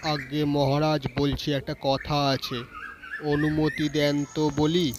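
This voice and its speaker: A man's voice: a little high, level tone, slow. A man playing wary, scheming royal court figures in an audio storybook, speaking in a conspiratorial manner. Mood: secretive. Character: scheming male palace folk, wary king and informer. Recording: clearly noisy recording with clicks.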